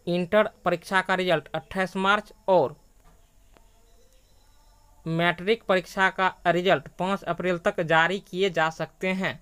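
A man's voice: high voice, fairly bright tone, clear, resonant tone. A man narrating an education news video as the channel host.

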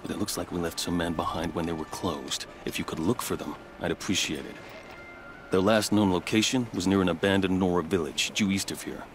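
An actor playing a calm, pragmatic fort captain in a video game, measured, polite and matter-of-fact. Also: male voice, low voice, a little hiss or hum. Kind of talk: video game dialogue acting.

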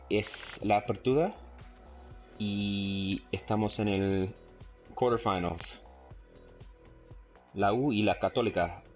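Chilean-tinged Spanish from an English speaker. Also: male voice, on the low side. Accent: Chilean Spanish, English-speaking learner. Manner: mixes Spanish with English, hesitant, frequent fillers; bursts of loud excitement at plays. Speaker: gaming YouTuber. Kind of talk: casual gameplay commentary.

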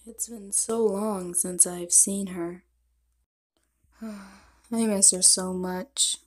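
A woman plays a lovestruck wizard of darkness in an animated fan dub. He speaks slowly and softly, teasing and tender with his sweetheart. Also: mid-range voice. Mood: wistful, loving.

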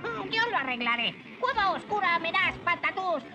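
A woman with a Latin American accent voicing a little cheerful, bumbling magician creature in a cartoon, squeaky. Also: sing-song, normal speed, music in the background. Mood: playful.

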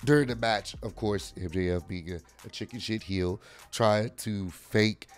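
A man in his thirties with a hoarse, worn-out voice, casually reviewing a wrestling event from a desk microphone at home. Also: lowish voice, measured pace, faint music behind. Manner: casual, rambling with frequent fillers. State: hoarse, lost voice. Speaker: wrestling vlogger. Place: home room with lit shoe shelves. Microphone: desk mic on arm.

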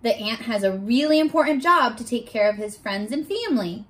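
A young woman teaching a read-aloud lesson to small children, asking questions in an animated way and pausing for answers. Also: a little high. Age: young adult. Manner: animated, exaggerated, asks questions and pauses for answers. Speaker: elementary school teacher. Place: bedroom, indoors. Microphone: camera a short distance in front.

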